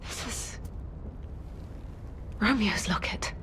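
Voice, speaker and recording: An actress playing a guarded, wistful, soft-spoken woman in a dramatic video game, with measured, hesitant pauses. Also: mid-range voice, animated.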